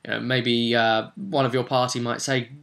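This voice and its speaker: A man with a bright, forceful voice of average pitch hosts an informal tutorial. He explains in a conversational but emphatic manner, offering firm opinions as he goes. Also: little pitch movement, moderate pace, vocal fry. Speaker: host of a tabletop role-play tutorial series. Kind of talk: informal explanatory monologue with read-out rules.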